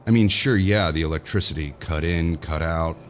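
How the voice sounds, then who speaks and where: A man's voice: extremely low pitch, normal speed, slight background noise. An actor playing a powerful, nervous businessman who talks to himself in a rambling, sardonic way, narrating an audio horror drama. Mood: rattled, musing. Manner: rambling self-talk, sardonic, jittery. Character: powerful businessman, alone, nervous, self-mocking, slowly unravelling. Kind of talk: first-person audio horror monologue.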